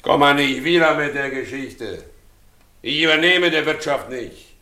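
Radio drama, echoey room: blunt, brooding man, higher-pitched, quick to rage. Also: expressive, pushed and bright. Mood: stubborn, irritated. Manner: colloquial, blunt, commanding. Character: brooding, quarrelsome husband demanding proof, quick to rage. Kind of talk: German radio drama acting.

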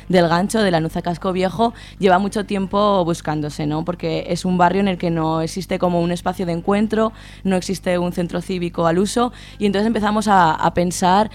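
A woman's voice: fairly low pitch, darkish tone. A woman with an accent from Spain, an activist guest explaining things conversationally on radio, often checking in with tag questions. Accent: Spanish from Spain. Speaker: interview guest, neighbourhood activist.

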